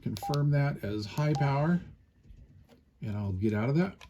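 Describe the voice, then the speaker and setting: A man's voice: mid-range voice, animated, measured pace, dark tone, highs cut off, a little hiss or hum. Casual, folksy man reviewing gear. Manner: folksy, plain-spoken with colloquial turns. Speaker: product reviewer. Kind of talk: casual hands-on review, unscripted.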